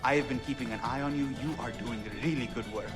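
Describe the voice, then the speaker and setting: An actor playing an excitable young pirate-radio DJ in a video game, chattering fast with slang. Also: male voice, mid-range voice, close to an everyday voice. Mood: excited. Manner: fast, animated, self-promoting chatter with slang. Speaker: radio host character. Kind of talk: video game cutscene acting. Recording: game audio with music and hall reverb.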